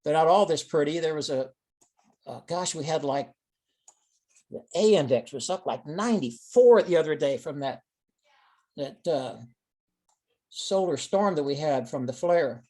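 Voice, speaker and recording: A man speaks in a fairly high register as he presents experimental data on a teleconference. His style is informal and explanatory, working through the material one step at a time. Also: animated, measured pace, long pauses, darkish tone. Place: online teleconference call.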